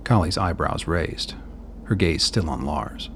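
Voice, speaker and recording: A man's voice: very deep voice, measured pace, gravelly, a little hiss or hum. A man narrating a scripted radio play as its storyteller.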